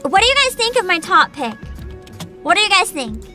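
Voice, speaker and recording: A woman hosting a livestream, chatting casually with viewers in an excitable, bubbly, giggly manner. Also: very high voice, moderate pace, faint music behind. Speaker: livestreamer host.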